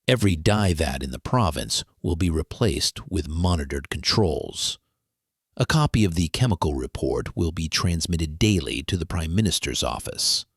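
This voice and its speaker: A man's voice: sits low, husky, no echo, clean studio sound, exaggerated voice. A man playing a grave, authoritative government official who gives formal orders in a mystery drama. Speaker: actor in an audio mystery drama.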